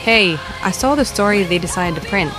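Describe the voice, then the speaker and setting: A woman plays a supportive friend with a mid-pitched voice. She talks casually, with a teasing touch. Music runs clearly behind her in this audio drama. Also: animated, normal speed, natural voice, rough recording. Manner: casual, conversational.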